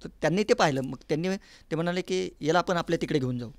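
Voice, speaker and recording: A man with a Maharashtrian accent speaks as an interview guest. His voice sits fairly high, and he explains earnestly, listing examples as he goes. The studio sound is very dry, with no room around it. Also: rapid-fire, dry recording. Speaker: interview guest, folk artist and academic department head. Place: recording studio.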